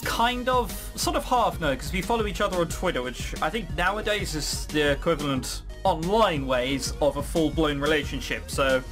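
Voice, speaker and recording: A man's voice: a little high, normal speed, few pauses, over music. A man giving casual, sarcastic gaming commentary with some swearing, sometimes reading game text aloud. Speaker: let's play host.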